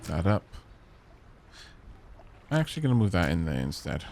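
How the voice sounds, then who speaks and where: A man's voice: sits low, very animated, normal speed, gravelly, a little mellow, a little hiss or hum. Wry man streaming a game. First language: Welsh. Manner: chatty, wry, talks to chat. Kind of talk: casual gameplay commentary.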